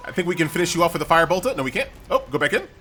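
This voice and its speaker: Bright-voiced American man doing casual game commentary. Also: on the high side, very animated, very fast, slight background noise. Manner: informal, conversational, chatty. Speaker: gaming YouTuber.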